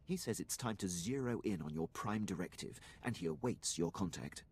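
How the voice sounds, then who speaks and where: A man's voice: lowish voice, expressive, moderate pace, gravelly, slightly rough, exaggerated voice. A man playing an eager, deferential Imperial military officer in a video game, speaking formally and precisely.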